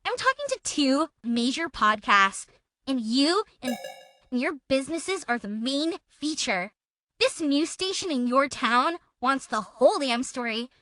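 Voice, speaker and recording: A woman's voice: sits high, very animated, a little slow, fairly bright tone, no room sound, heavily acted. A woman playing a belligerent, self-important woman in a feud, taunting and accusatory, as a theatrical dramatic reading. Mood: menacing. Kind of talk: dramatic reading of a text exchange.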